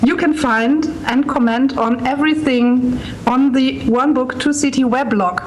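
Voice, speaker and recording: Woman, German accent, unhurried event presenter, faint noise on a video call. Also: high voice, steady tone, poor audio. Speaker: library staff member presenting a project. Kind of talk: prepared speech at a launch event.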